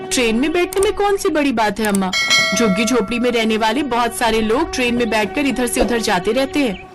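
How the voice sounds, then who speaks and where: A woman's voice: a little high, animated, a little fast, over loud music, close to an everyday voice. A woman voicing an eager, coy young slum girl in a cartoon story.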